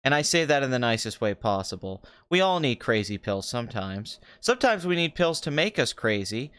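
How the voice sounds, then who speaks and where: Male podcast host for casual live chat: very animated pitch, clean tone with a touch of morning roughness, crude joking, loose rambling delivery. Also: fairly quick. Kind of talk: free-form casual live stream chat.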